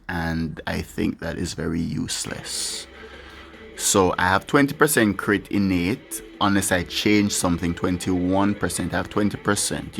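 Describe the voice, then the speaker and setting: A man's voice: sits low, fairly bright tone. A man giving casual, rambling gameplay commentary. He swears now and then and talks candidly as he plays. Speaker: gaming channel host. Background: his own music playing faintly.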